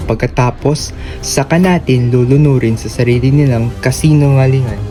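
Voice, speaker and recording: A man's voice: medium pitch, normal speed, warm tone, soft background music, close to an everyday voice. A man acting a defiant, grieving son exposing his corrupt father in a solemn, declamatory Filipino radio drama style. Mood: vengeful, grim.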